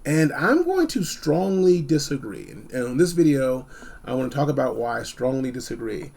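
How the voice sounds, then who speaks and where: A man hosting a casual, unscripted gadget review, talking in an animated, emphatic way and repeating phrases for effect. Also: medium pitch, moderate pace, gravelly. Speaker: product reviewer hosting a video.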